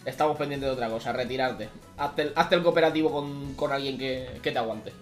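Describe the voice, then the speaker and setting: A young adult man from streaming from home, chatting casually with loud excited outbursts and swearing. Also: mid-range voice, brisk, soft background music. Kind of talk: casual live-stream chat.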